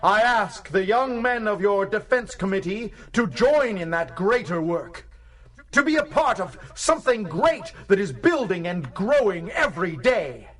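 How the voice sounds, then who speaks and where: An actor playing a fervent orator addressing an assembly in a radio drama, with grandiose, preacherly emphasis. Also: male voice, high-pitched, sing-song, measured pace, a bit bright, recorded from a distance, heavily acted. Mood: urgent, rousing. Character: fervent male rabble-rousing orator addressing a community assembly. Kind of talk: theatrical political speech in radio drama.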